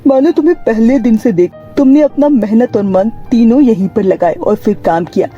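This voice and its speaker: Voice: mid-range voice, moderate pace, warm tone, highs cut off, soft background music, close to an everyday voice. A performer voicing an appreciative male company owner in a cartoon story, earnest and formal. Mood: pleased, generous.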